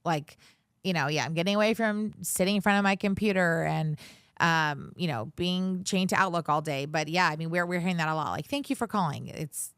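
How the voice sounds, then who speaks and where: A woman in her forties talks in a relaxed, chatty way, looping through fillers and restarts as she explains her work. Her voice sits low and comes through smooth and clean, in a dry room with no echo. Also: a little fast. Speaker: guest, commercial real estate director. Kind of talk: casual interview conversation.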